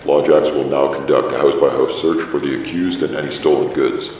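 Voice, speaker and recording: Echoing, very deep menacing announcer. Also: male voice, steady tone, brisk, creaky. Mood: cold, menacing. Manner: formal, officious. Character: menacing authoritarian lawman's public announcement voice, coldly gleeful. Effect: booming public-address processing. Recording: distant, muffled, long echoing reverb.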